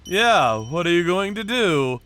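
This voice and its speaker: Man playing a smug, taunting torturer, bright and forceful. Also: sits high, very animated, unhurried, clean tone, dry recording, pitched above the performer's own voice, exaggerated voice. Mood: menacing. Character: smug, sadistic male torturer avenger.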